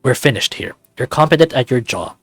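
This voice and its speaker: A man's voice: mid-range voice, expressive, brisk, fairly bright tone, dry recording. A man voicing a big, surly male furry lab lead in a visual novel, curt and sarcastic. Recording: music playing behind close dry voice.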